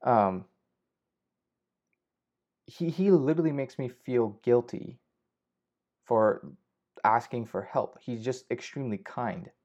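A man's voice: mid-range voice, slow, long pauses, darkish tone. A young man hosting a casual solo podcast, rambling with frequent swearing and restarts. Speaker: podcast host. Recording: slightly dull lo-fi audio.

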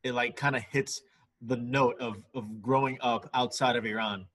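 A man's voice: mid-range voice, fairly even intonation, moderate pace, fairly bright tone. A man hosting a casual podcast, talking with a lot of hesitation and filler words. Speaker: podcast host.

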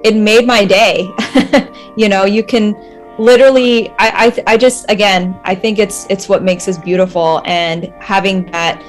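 A woman's voice: mid-range voice, fairly even intonation, unhurried, soft background music. Earnest woman dentist talking. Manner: earnest, reflective, laughs between points. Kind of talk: casual group discussion.

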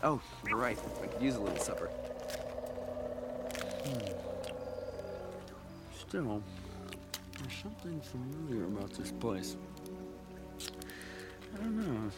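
A man's voice: medium pitch, very animated, takes it slowly, long pauses, over loud music. An actor playing an earnest, impatient young male space adventurer in a radio drama. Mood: weary, uneasy.

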